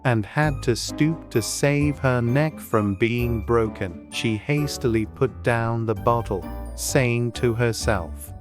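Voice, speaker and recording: Slow, gentle male storybook narrator. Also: mid-range voice, over music. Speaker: audiobook narrator.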